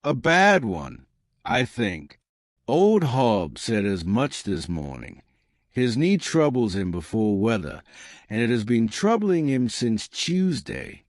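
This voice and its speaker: A man's voice: medium pitch, sing-song, slow pace, dry recording, studio quality. A man voicing a grave, decisive army colonel with a clipped, authoritative manner in an audiobook. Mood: grave foreboding. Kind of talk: audiobook character dialogue.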